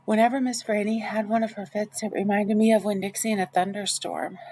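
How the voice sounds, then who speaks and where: A woman reading a children's novel aloud in the first person, with expressive storytelling. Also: mid-range voice, a little fast, a little hiss or hum, low-quality recording. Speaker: audiobook reader.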